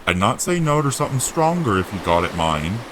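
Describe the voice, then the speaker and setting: A man voicing a huge, gruff but friendly giant with rustic, blunt speech in an audio drama. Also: fairly low pitch, very animated, brisk, noisy background. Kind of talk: audio drama character acting.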